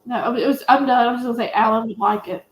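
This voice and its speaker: A woman's voice: on the high side, fast talker. A woman chatting casually and emphatically about films and series as an opinionated panelist. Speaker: panelist on a movie review show. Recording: roomy, echoey sound.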